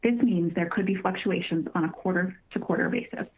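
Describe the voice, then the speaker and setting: A woman reading prepared remarks as a company finance executive, in a formal, measured manner with careful wording. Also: on the low side, slightly rough. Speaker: company finance executive presenting on an earnings call. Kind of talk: prepared corporate presentation, scripted. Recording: narrow tinny phone-line conference call.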